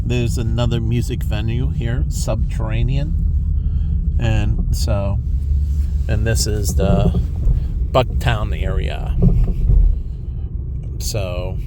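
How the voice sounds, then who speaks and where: A man talks slowly in a lowish voice while walking outdoors. He rambles and circles back to the same points in a relaxed vlog manner. Traffic noise comes through clearly behind him. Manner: rambling, repeats himself. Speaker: vlogger. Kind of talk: casual walking tour vlog. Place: city street outdoors.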